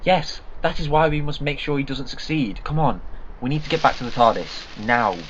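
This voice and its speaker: An actor playing an eccentric male alien time traveller who speaks in an earnest, urgent, excitable way in a fan audio drama. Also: on the high side, moderate pace, slight background noise, lightly acted. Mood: thinking. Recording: slightly dull lo-fi sound.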